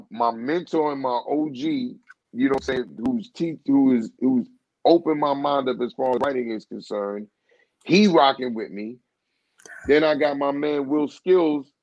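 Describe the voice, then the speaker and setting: A man's voice: medium pitch, dry recording. A man being interviewed as a singer, telling a long casual story with lots of "you know what I'm saying" and restarts. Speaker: guest singer. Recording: dull, dry muffled recording.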